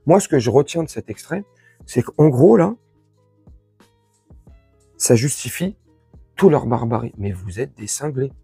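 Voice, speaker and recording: Man, husky and mellow, swinging pitch, indignant slangy commentary, faint music behind. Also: lively intonation, a little slow, long pauses, no echo. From France. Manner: crude, slangy, sarcastic, indignant. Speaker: online commentator. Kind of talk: informal opinion rant to followers.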